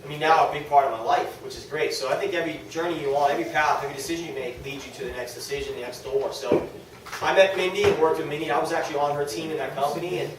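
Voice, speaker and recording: A man's voice: on the high side, fairly even intonation, racing. Echoey, distant New England man addressing a group. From New England, United States. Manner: conversational, self-deprecating, often checks in with listeners. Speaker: speaker at a direct-sales team meeting. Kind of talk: informal motivational talk to a small group. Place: echoey indoor meeting room with an audience. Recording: very echoey room, distant mic, faint noise.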